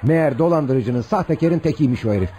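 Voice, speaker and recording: A man's voice: medium pitch, expressive, brisk, mellow, close to an everyday voice. An actor playing an earnest, anxious city man on a search, polite and hesitant, in a radio drama. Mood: troubled.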